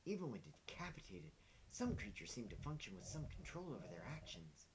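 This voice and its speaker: Someone voicing a deadpan scientist in a visual novel, with formal, matter-of-fact delivery. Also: medium pitch, fairly quick. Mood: clinical. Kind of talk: character voices read aloud for a visual novel. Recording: faint background hiss.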